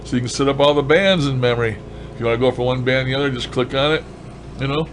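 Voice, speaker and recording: A man's voice: medium pitch, fast. Folksy man rambling over background noise. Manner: folksy, colloquial, enthusiastic. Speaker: ham radio hobbyist vlogger. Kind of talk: casual rambling demonstration of a radio gadget.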